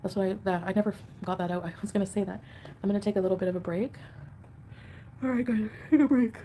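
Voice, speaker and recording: A woman's voice: mid-range voice, steady tone, quick pace, warm tone, slight background noise. A woman hosting a casual livestream at home, chatting with viewers and answering comments in a rambling, defensive way. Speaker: livestream host.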